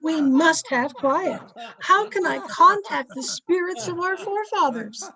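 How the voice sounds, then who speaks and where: A woman's voice: extremely high pitch, lively intonation, measured pace, crowd noise, heavily acted, low-quality recording. A woman playing a pompous, bossy society wife who fancies herself a medium, in theatrical old-time radio style. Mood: haughty, insistent. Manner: theatrical, imperious, grandly emphatic. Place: remote recording over a video call.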